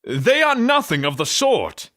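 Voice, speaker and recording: A man's voice: high voice, big pitch swings, measured pace, pushed and bright, no room sound, studio quality, exaggerated voice. A man playing a proud, indignant god of the forge in an audio drama, speaking in a formal, argumentative, theatrical way.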